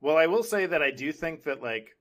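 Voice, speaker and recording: A man's voice: fairly high pitch, expressive, fairly quick. Enthusiastic, rambling male podcast co-host. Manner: rambling, enthusiastic fan talk. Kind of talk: casual chat, pop culture discussion.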